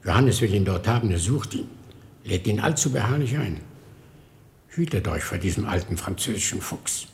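An actor playing a very old, frail and devout monk in a radio drama, speaking in a fervent, halting, theatrical way. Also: male voice, on the low side, animated, vocal fry, a little hiss or hum. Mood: reproachful, urgent. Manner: theatrical, halting, breaks into whispers and lament. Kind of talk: German radio drama acting.

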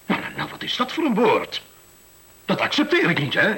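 An actor playing a pompous, stubborn official who blusters and falters when cornered, in a Dutch radio play. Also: male voice, sits high, huge pitch swings, moderate pace, bright, forceful tone, husky, heavily acted. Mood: indignant, flustered. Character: pompous, stubborn official running an education experiment, easily rattled.

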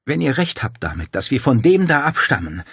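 A male reader acts a young woman in an audiobook, accusing and scornful. The voice is pushed well up in pitch and keeps a husky texture. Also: big pitch swings, a little fast, a bit bright, dry recording, studio quality, heavily acted. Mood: bitter, defiant. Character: defiant, outraged young pregnant woman. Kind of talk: dramatic audiobook dialogue.

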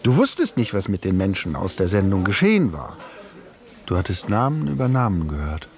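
An actor playing a pensive former radio listener reflecting emphatically in a radio documentary play. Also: male voice, sits low, big pitch swings, a little fast, a little mellow. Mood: pensive, haunted.

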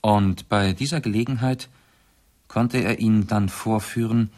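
An actor playing a shrewd English gentleman detective in a 1970s radio play, speaking in a deliberate, analytical and urbane manner. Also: lowish voice, steady tone. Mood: analytical, composed. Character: shrewd English gentleman detective, methodically explaining his deductions.

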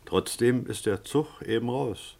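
An actor playing a wry, teasing station pub landlord in a radio drama, dry and a little hesitant. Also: male voice, mid-range voice, a little slow, creaky, a little mellow. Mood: gloating. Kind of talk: naturalistic radio drama acting.

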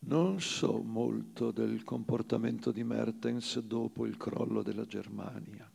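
A man reading a literary account in a grave, measured way, with a gravelly voice. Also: on the low side, steady tone, a little mellow, big-room sound. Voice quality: gravelly, creaky. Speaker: actor reading a first-person story. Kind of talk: literary narration for radio theatre.

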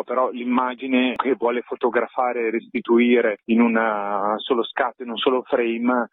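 A man's voice: medium pitch, slightly rough, no room sound. A man giving a radio interview as a theatre maker, reflective and articulate in long flowing sentences. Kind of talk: radio interview, explaining a stage production. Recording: phone-like narrow band, radio interview.

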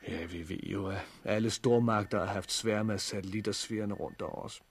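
A practical, protective survivor in a sixties radio play. His voice is low and very creaky, with a husky edge. He speaks in measured, matter-of-fact phrases that steady whoever he is with. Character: pragmatic, protective male survivor of a global catastrophe. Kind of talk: 1960s Danish radio drama acting.

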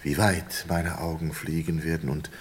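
An actor in a German crime radio play playing an educated foreign prisoner who is sardonic, polite and mockingly calm. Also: male voice, extremely low pitch. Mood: coolly taunting. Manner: silky, sardonic, drawn-out politeness.

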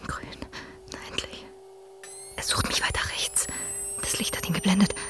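An actress playing a bold young woman journalist in a radio thriller, often speaking in breathy, urgent tones. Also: moderate pace, fairly bright tone, natural voice. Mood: frightened, urgent. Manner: breathy whispering to herself, urgent calling out. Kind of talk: German radio thriller acting.

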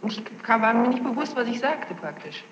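A woman giving courtroom testimony as a witness, defensive and halting, often repeating herself. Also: fairly high pitch, animated. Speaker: witness under questioning. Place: large echoey courtroom, archive tape. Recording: very noisy muffled tape, echoey hall.

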